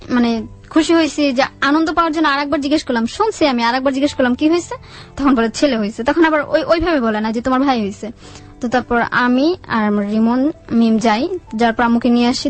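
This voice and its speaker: A woman with a Bangladeshi accent tells a personal story in a radio interview, haltingly, repeating words and quoting what people said. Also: a little high. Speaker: guest telling own life story. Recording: music under the voice. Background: background music.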